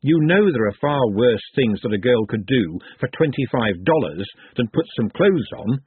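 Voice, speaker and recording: A man's voice: medium pitch, lively intonation, normal speed, breathy edge, dry recording. A man voicing a talking glass of sherry in a storybook, a cheeky tempter who coaxes and argues. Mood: cheeky persuasion. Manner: coaxing, brash, argumentative. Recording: muffled phone-like narrow band.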